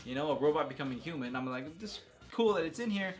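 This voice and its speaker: A man's voice: on the high side, animated, fast talker. A man giving casual, unscripted video game commentary as a host, talking enthusiastically with slang and emphatic exclamations.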